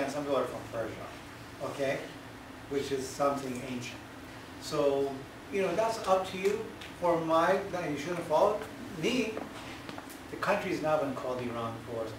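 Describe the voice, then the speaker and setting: A man, a history lecturer, answering audience questions in an emphatic way and often checking with "right?". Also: medium pitch. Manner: emphatic, asks "right?" for agreement. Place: large echoey lecture hall. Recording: echoey hall, far-off, noisy.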